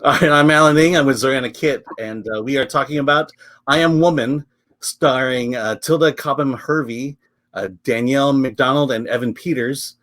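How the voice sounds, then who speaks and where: A man's voice: mid-range voice, moderate pace, faint music behind. A man hosting a film review show, talking casually with many fillers. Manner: relaxed, chatty, frequent fillers and laughs.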